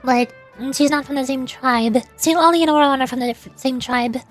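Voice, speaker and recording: Female game streamer, high voice, playful rambling chat, faint music behind. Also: moderate pace. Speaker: streamer and amateur voice actor. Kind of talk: casual game livestream commentary.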